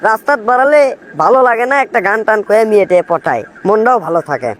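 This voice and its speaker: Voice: mid-range voice, sing-song, quick pace, close to an everyday voice. An actor plays a cheeky village Romeo from a comic cartoon. He has a Bogura accent from northern Bangladesh. His talk is playful and flirty, and he slips into crooning to charm women. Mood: comic grumbling. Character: flirtatious village man serenading women on the road. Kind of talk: comic cartoon skit dialogue with snatches of song.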